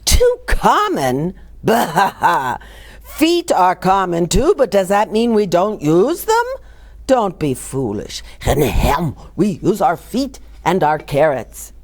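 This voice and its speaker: A woman voicing proud, indignant, foolish storybook villagers with huffy, exaggerated, drawn-out exclamations. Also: on the low side, very animated, heavily acted. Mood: scornful.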